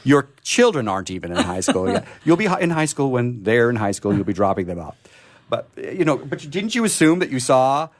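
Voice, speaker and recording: A man's voice: mid-range voice, very animated, brisk. A man hosting a radio show in a casual, chatty, teasing way. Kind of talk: casual bantering radio talk.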